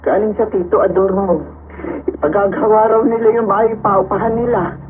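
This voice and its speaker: A woman's voice: medium pitch, fairly even intonation, moderate pace, lightly acted. An actress playing an anxious, weary middle-aged mother in a radio drama. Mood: worried. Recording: tinny phone-like sound, loud hiss.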